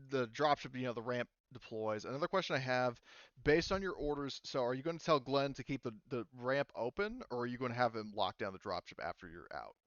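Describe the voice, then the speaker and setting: A man working as game master, narrating a tabletop roleplaying game casually and haltingly, often correcting himself and swearing. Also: mid-range voice, clean tone, no echo, lo-fi sound.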